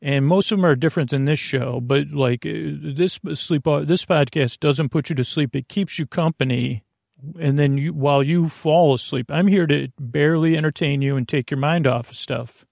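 A man hosting a bedtime podcast in a meandering, halting monologue, often interrupting himself and stammering into restarts. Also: on the high side, vocal fry, a little mellow, no room sound, clean studio sound. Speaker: podcast host.

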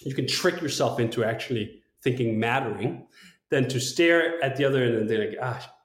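A man's voice: medium pitch, moderate pace, echoey room. A man from Denmark speaks reflectively and emphatically, thinking aloud in a long-form conversation.

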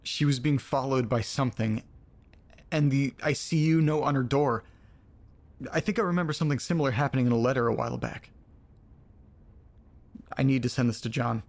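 Uneasy male actor as audio drama letter reader, creaky medium voice, deliberate storytelling, troubled and foul-mouthed. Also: mid-range voice, close to an everyday voice. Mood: shaken, curious. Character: troubled man reading strange letters aloud, profane and uneasy.

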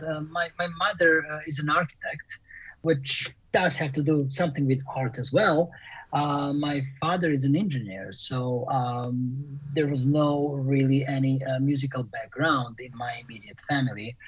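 Husky Serbian-accented man, halting answers. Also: fairly high pitch, dry recording, low-quality recording. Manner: halting, many fillers and restarts, reflective. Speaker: composer and music professor. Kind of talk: interview answer.

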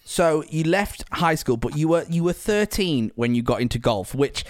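A man's voice: on the high side, lively intonation, moderate pace. A man, interviewing in a casual, teasing way. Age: 20s. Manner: teasing, bantering. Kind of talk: casual chat.

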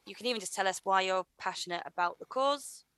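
A woman hosting a podcast, gently encouraging her guest with frequent fillers. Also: mid-range voice, quick pace, clean tone, no room sound. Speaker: podcast host. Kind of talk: casual chat.

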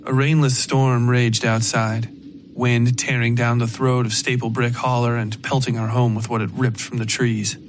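A man of middling pitch delivers scripted podcast narration for dark horror fiction. Plucked guitar music plays clearly behind his voice as he hosts and tells the story. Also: flat delivery, moderate pace.

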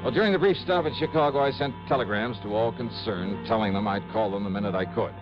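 An actor playing a wry, harried American insurance investigator with a colloquial, dramatic delivery in a 1960s radio detective drama. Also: male voice, fairly low pitch, fast, close to an everyday voice. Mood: confiding, wry. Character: brisk, harried, loyal male insurance investigator. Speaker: lead and narrator. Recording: muffled old radio, music underneath.

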